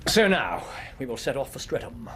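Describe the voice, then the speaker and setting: An actor playing an incisive, eccentric Victorian gentleman detective in a radio drama, precise, probing and theatrical, with an English accent. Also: mid-range voice, very animated, normal speed, a little hiss or hum. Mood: incisive reasoning.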